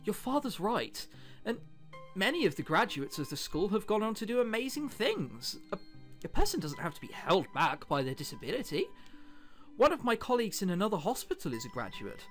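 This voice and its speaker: Guy doing an earnest dad or doctor type from a visual novel, explaining gently, music behind. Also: high voice, wildly swooping pitch, a little fast, full character voice. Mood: reassuring. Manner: reassuring, explanatory. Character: earnest, placating adults: a father and a doctor. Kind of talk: visual novel dialogue reading.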